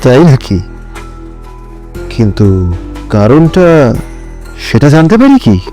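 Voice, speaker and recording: A man's voice: mid-range voice, big pitch swings, slow pace, warm tone, faint music behind. A man acting an indignant, defiant villain in an audio drama, haughty and sarcastic in manner. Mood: defiant, mocking. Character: indignant, defiant male villain caught by police.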